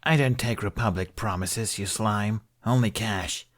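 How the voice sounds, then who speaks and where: A man voicing a hostile female alien shop clerk in an audiobook, deadpan and contemptuous. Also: lowish voice, unhurried. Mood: angry.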